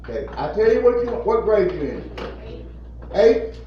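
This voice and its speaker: A man's voice: high-pitched, sing-song, measured pace. A man in his fifties, a plant manager, giving an earnest, repetitive motivational talk to a group of children. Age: 50s. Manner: earnest, repetitive, preacherly emphasis. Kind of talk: informal motivational talk to kids. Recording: echoey, distant, muffled room recording with faint noise.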